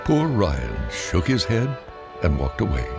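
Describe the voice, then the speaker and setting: A male narrator tells a children's story in a read-along style. His delivery swings expressively up and down, with clear music playing behind him. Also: deep voice, wildly swooping pitch, measured pace.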